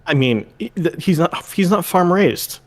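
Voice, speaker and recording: A man's voice: sits high, expressive, a little slow, slight background noise. A man playing a tabletop game, joking casually with dry, mock-earnest humour.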